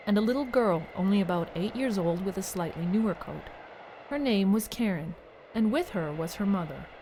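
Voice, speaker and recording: A woman's voice: mid-range voice, a little mellow, slight background noise. A woman narrating a storybook tale for an audio drama, conversationally addressing the listener.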